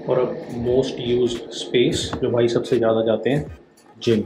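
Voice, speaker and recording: A man's voice: medium pitch, flat delivery, takes it slowly, echoey room, rough recording. A man in his thirties strolls through an apartment building on a handheld camera, chatting easily in Hindi with English phrases mixed in. His medium voice rings off bare walls in empty rooms, with music playing underneath. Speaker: vlogger giving a tour. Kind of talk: casual Hindi-English tour narration. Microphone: handheld action camera, wide angle.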